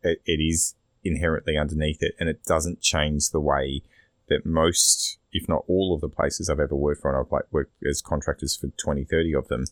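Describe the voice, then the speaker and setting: Australian male podcast host, extremely low voice, casual rambling delivery, dry close sound. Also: steady tone, normal speed, dry recording. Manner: rambling, sweary. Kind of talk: casual opinionated discussion.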